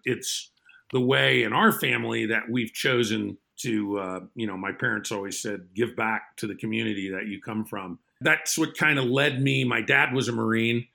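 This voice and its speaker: Gravelly, bright-voiced man in his fifties. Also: mid-range voice. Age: 50s. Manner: earnest, reflective, with frequent restarts. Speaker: podcast guest, former Marine aviator. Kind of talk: conversational interview answers.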